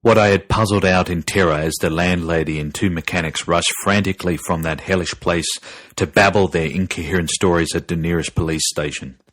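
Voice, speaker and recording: A man with a deep voice narrates a horror tale. His delivery is dramatic, deliberate and grave, full of foreboding. The sound is close and dry, like a studio audiobook. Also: fairly even intonation, fairly bright tone, no room sound. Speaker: narrator and podcast host.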